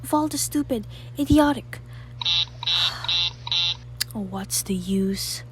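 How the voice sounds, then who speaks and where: A woman's voice: lively intonation, extremely slow, heavy background noise. A woman playing a nervous, demanding, self-pitying bedridden wife in a radio thriller, with a querulous, imperious manner. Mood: irritated, indignant. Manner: querulous, imperious, highly strung. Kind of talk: old-time radio thriller acting.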